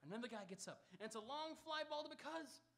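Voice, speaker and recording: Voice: high voice, lively intonation, fast talker, creaky, a bit bright, heavily acted. A performer playing an exasperated, confused baseball fan in a live radio comedy double act, with insistent questions that rise into indignant outbursts. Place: auditorium stage, live radio theatre production.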